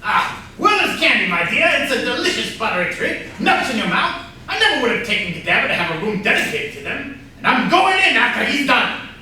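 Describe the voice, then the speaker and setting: A man acting an excitable, pompous gentleman with an exclamatory manner in a staged comedy reading. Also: very high voice, brisk, full character voice. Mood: eager. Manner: exclamatory, blustering. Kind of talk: theatrical comedy play reading. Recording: very echoey room, distant mic, faint noise.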